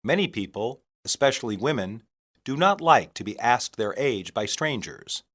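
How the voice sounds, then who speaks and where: Male language-lesson reader, mid pitch, animated intonation, deliberate delivery in separate phrases for learners. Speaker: English teacher reading practice phrases. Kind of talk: scripted language-lesson reading.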